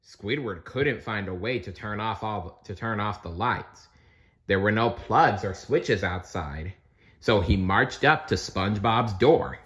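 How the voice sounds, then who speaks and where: A man narrates a children's storybook aloud, taking his time. His delivery is expressive and widely varied in pitch, with a bright, forceful tone. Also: a little slow. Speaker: storybook reader.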